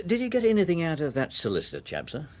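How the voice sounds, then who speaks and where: An actor playing a loyal, plain-spoken police detective's sidekick in a British radio drama, with an English accent and a respectful, stolid manner. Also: male voice, fairly high pitch, wildly swooping pitch, quick pace, a little mellow. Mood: respectful, confiding.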